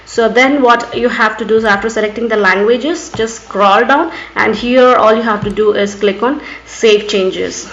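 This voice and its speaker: A woman's voice: on the high side, moderate pace, few pauses. A woman with an Indian accent and Urdu as her first language narrates an unscripted screen tutorial as host, often saying "actually". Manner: repeatedly says "actually". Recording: echoey, muffled, background noise.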